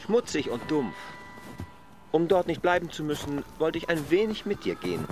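An actor playing a restless, evasive land surveyor with an insistent, brusque manner in a radio play. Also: male voice, a little high, big pitch swings, normal speed, darkish tone, soft background music. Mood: insistent, curt. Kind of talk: literary radio play acting.

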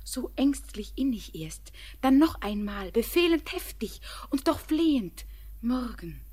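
A woman's voice: a little high, very animated, measured pace, a little mellow. An actress playing a tender, anxious young bride who pours her words out in a half-whisper. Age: young adult. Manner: breathless, half-whispered outpouring. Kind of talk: classic radio play acting.

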